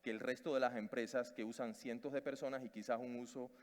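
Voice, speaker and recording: A man with a Cuban Spanish accent gives a talk from a conference stage. His voice sits at an ordinary pitch and he restarts words often, filling gaps with little hesitations. The hall adds a long reverb. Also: fairly even intonation, brisk. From Cuba. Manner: hesitant, many fillers and restarts. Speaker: conference speaker.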